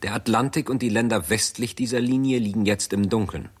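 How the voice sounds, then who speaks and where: A man narrating a 1960s German radio drama in a measured, documentary-like manner. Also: lowish voice, husky.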